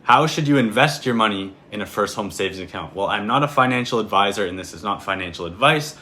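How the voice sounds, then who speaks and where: A man in his twenties from Canada, a real estate agent talking through an explainer video in a clear, steady way. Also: fairly low pitch, quick pace, slight background noise. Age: 20s.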